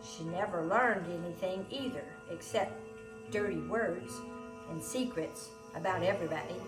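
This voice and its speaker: A woman reading a storybook aloud in an expressive, deliberate and emphatic storytelling manner. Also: fairly low pitch, echoey room, over music, poor audio. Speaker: storybook reader. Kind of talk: expressive read-aloud narration.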